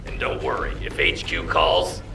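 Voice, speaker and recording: A man playing a brash, rule-bending soldier in a video game, with a gravelly voice. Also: a bass voice, steady tone, normal speed. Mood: cocky. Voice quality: gravelly, sharp. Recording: roomy, distant, music behind.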